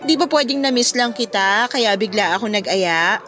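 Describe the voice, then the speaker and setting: A woman's voice: medium pitch, animated, moderate pace, fairly bright tone, soft background music, close to an everyday voice. An actress playing a neglected girlfriend in her late twenties, casual and slightly plaintive, with a Filipino accent in a radio drama. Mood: playful. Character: 29-year-old woman, neglected girlfriend chatting with friend. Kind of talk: conversational radio drama acting.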